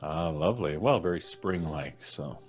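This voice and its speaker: A man's voice: a bass voice, lively intonation, moderate pace, soft background music. A man with a North American accent hosting a casual live stream with jovial, playful banter. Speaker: host.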